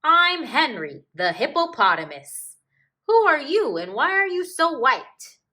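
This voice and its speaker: Woman putting on a loud, jovial storybook hippo. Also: high-pitched, sing-song, measured pace, pitched above the performer's own voice, full character voice. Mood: playful, booming. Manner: loud, exclamatory, emphatic. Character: big, jovial, boisterous male hippopotamus, friendly and joking.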